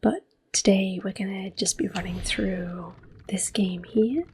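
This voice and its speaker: Slow, soft-spoken whispering woman. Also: mid-range voice, steady tone, background noise. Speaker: ASMR gaming channel host. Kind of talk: ASMR gameplay commentary.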